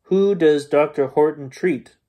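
A man's voice: fairly high pitch, a little slow, warm tone, close to an everyday voice. A storyteller voicing an exasperated, impatient man from a children's storybook. He sounds short-tempered and raises his voice a little.

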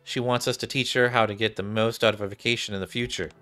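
A man's voice: fairly low pitch, steady tone, fast talker, pushed and bright. A young adult man, a game streamer, reading visual novel dialogue aloud. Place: small indoor room. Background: game music.

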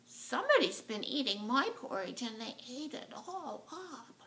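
A woman's voice: a little high, big pitch swings, measured pace, sharp tone, voice pushed up, full character voice. A woman voicing a small, plaintive baby bear with a childlike whine in a children's storybook.

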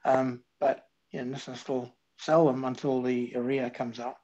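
A man with a medium-pitched voice talks casually on a panel. He sounds measured and tentative, and the recording is dull and lo-fi. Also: level tone, warm tone. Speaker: podcast panelist. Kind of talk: casual group chat. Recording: dull lo-fi connection.

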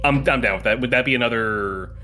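A man's voice: mid-range voice, quick pace, over music. A man chatting casually as a player in a tabletop game, talking in a loose, sweary way.

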